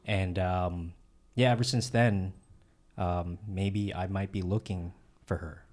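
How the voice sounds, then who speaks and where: A man who is a podcast guest, telling a story in a halting, soft-spoken way with many restarts. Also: sits low, fairly even intonation. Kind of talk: casual storytelling.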